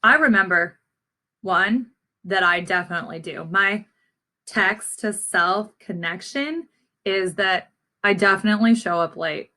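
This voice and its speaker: A woman's voice: mid-range voice, measured pace, sharp tone. A woman speaking instructively to children as a teacher, addressing them as friends while reading aloud and posing lesson questions.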